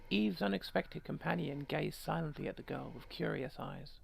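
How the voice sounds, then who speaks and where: Very creaky, irreverent woman narrating a game. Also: deep voice, normal speed, slight background noise. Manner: irreverent, joking, sweary. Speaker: video game streamer reading aloud. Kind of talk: game playthrough, narration mixed with casual commentary.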